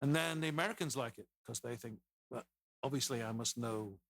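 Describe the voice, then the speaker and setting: A man's voice: mid-range voice, brisk, a bit bright, no room sound. A man in his fifties with an English accent, a podcast guest telling stories in a loose, rambling way. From England. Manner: rambling, anecdotal, many restarts. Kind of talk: casual storytelling interview.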